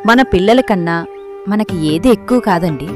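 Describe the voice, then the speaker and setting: A woman's voice: mid-range voice, animated, normal speed, over music, lightly acted. A woman playing a poor, devoted beggar mother and mildly scolding wife in a dramatised moral story. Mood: caring.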